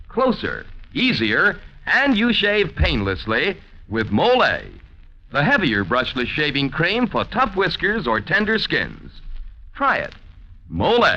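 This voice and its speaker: A man works as a peppy commercial announcer on 1940s radio. His fairly high voice swings widely with folksy emphasis. Music plays behind him. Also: bright, forceful tone, dull audio. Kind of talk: 1940s radio advertising pitch.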